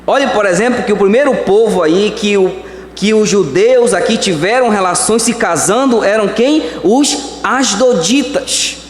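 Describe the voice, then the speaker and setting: A Brazilian man preaching a sermon as pastor, swinging between slow emphatic words and quick phrases. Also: sits high. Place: church hall. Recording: echoey church hall.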